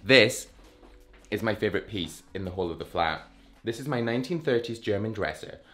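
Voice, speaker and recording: Man, 30s, very low voice, enthusiastic self-mocking vlogger chat, camera held at arm's length. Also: lively intonation, fairly quick, fairly bright tone, soft background music. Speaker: vlogger giving a flat tour. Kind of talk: casual walk-through chat. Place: rooms of a London flat, indoors. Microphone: handheld camera at arm's length.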